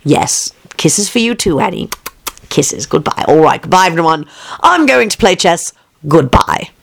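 A woman voicing a fussy, tired gentleman of the house who speaks in a hesitant, formal and breathy way. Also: lowish voice, animated, a little slow, exaggerated voice. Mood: weary.

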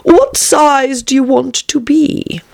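A woman's voice: sits high, takes it slowly, vocal fry, a little mellow, voice pushed up, full character voice. A woman voicing a curt, irritable storybook caterpillar in a languid, stern drawl. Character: slow, curt, irritable caterpillar smoking a hookah.

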